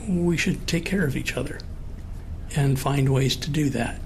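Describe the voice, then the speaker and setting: Slow-talking American man in his late sixties. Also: medium pitch, creaky, darkish tone, a little hiss or hum. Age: 60s. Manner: halting, thoughtful, earnest. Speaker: participant in a recorded one-on-one conversation. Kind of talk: sincere personal conversation.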